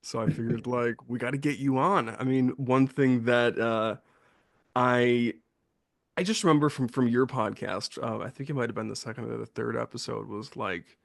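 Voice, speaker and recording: Gravelly young man, casual podcast host. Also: medium pitch, moderate pace, dry recording, studio quality. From western New York. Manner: relaxed, filler-heavy, laughs easily. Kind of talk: casual chat.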